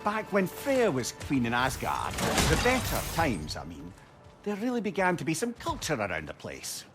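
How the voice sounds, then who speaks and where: A man's voice: a little high, wildly swooping pitch, moderate pace, loud music behind the voice. An actor playing a witty, wistful old male advisor with a Scottish accent, telling stories lyrically and wryly in a video game. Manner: lyrical, wry, conversational. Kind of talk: storytelling video game dialogue. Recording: ambient noise behind voice.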